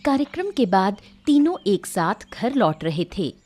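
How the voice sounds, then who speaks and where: A woman giving scripted narration for an animated moral story. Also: mid-range voice, measured pace.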